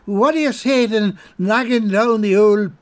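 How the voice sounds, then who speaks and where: A man putting on a high-pitched drunk rogue. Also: a little slow, slight background noise. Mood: tipsy, cheeky. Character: drunk Irish petty crook, middle-aged man, cheeky and wheedling.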